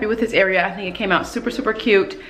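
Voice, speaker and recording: A woman's voice: medium pitch, steady tone, quick pace, a bit bright, faint music behind. Upbeat woman vlogging in an echoey room. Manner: upbeat, chatty. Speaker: home decor vlogger. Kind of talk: casual on-camera vlog talk. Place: bright room at home, indoors. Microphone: camera on a tripod at a short distance.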